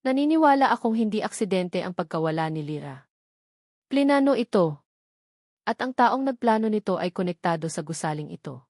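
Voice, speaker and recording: A woman's voice: medium pitch, big pitch swings, fairly quick, a little mellow, dry recording, studio quality. A woman with a Filipino accent voicing an earnest, desperate man in a story, grave and insistent. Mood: urgent, desperate. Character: earnest, desperate man revealing painful family secrets.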